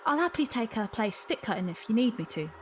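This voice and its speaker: A woman's voice: a little high, very animated, quick pace, faint music behind. A woman playing a plucky, respectful young Victorian factory worker in an audio drama, polite but persistent. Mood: pleading. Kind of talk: fan audio drama acting.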